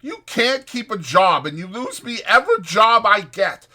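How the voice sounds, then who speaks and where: A man's voice: high-pitched, sing-song, a little slow, bright, forceful tone, pitched above the performer's own voice, full character voice. A man voicing a short-tempered, exasperated migrant ranch worker, scolding in slangy rural American working talk. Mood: furious ranting.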